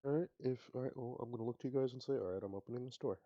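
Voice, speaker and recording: A man playing a tabletop game, chatting casually and thinking aloud haltingly, on slightly muffled audio. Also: mid-range voice, mellow, dry recording.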